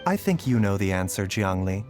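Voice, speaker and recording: A man voicing a calm, gentle young doctor who speaks in a measured, reassuring way. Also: on the low side, faint music behind.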